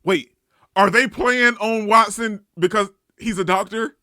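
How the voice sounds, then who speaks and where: A man giving an excited, casual reaction commentary, exclamatory and slangy as he hosts. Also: sits high, measured pace, dry recording, studio quality. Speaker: anime reaction host.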